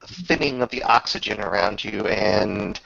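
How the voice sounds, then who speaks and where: A man narrating an improvised tabletop game as game master, halting with drawn-out pauses as he describes the action. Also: lowish voice, creaky, bright, forceful tone, no room sound. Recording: dull lo-fi voice recording.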